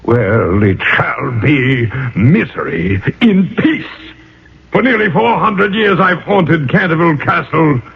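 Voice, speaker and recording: An actor playing a proud, lovelorn aristocratic ghost in a theatrical radio drama style, in grandiloquent phrases. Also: male voice, fairly high pitch, sing-song, slow, creaky, husky, exaggerated voice. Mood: indignant, grandiose. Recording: muffled old radio broadcast recording.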